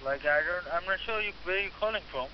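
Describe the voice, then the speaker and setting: A male store worker speaks quickly on a phone call, with non-native grammar and a hesitant, resistant manner. His pitch is average, and the line is dull with clear hiss. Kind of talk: phone conversation.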